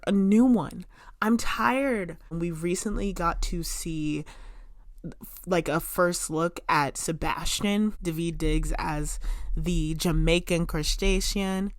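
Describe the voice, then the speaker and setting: A young woman chatting casually to camera as a vlogger at home, expressive, with lots of "like" fillers and sudden bursts of emphasis. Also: mid-range voice, dry recording, clean studio sound. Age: young adult. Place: room in her home.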